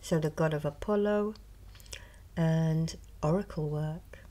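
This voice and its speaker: A middle-aged woman, a reiki healer, speaking softly and slowly in a partly whispered show and tell, a little hoarse from a cold. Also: low voice, slight background noise. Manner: slow, soft, partly whispered. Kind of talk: soft-spoken ASMR show and tell. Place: indoors at a table. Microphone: close mic over a tabletop.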